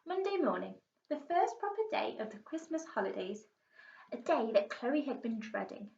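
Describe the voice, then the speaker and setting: A woman's voice: on the high side, darkish tone. A British woman, a primary school teacher, reading a storybook aloud expressively. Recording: muffled, echoey room, distant mic.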